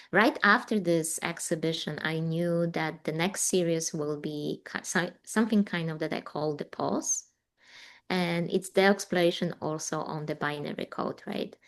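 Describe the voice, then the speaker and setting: A woman in her forties from Poland with a Polish accent, chatting in an interview as a guest artist and rambling with fillers. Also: lowish voice. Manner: rambling, warm, frequent fillers and laughs. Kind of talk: casual interview chat.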